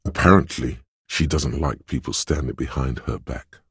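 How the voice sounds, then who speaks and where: A suave cocky money-mad hustler in a video game, super raspy, bone dry close sound, theatrical. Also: male voice, normal speed, no room sound, full character voice. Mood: cool, observant. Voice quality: gravelly, husky bass. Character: swaggering, money-obsessed suave inner voice, a slick hustler. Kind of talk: video game voice acting, dramatic narration.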